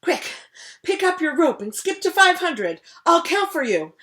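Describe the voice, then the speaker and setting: A fussy, bossy storybook king who shouts his demands in a raised, bright voice full of lively jumps in pitch, as a woman puts him on. He sounds strict and demanding. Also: a little slow, heavily acted.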